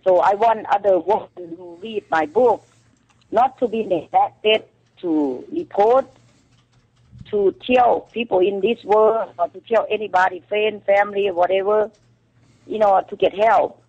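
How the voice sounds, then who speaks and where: A woman's voice: medium pitch, expressive, a little mellow. Thai woman on a muffled phone line. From Thailand. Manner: halting non-native English with frequent fillers. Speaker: call-in guest, author. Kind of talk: phone-in radio interview. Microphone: telephone.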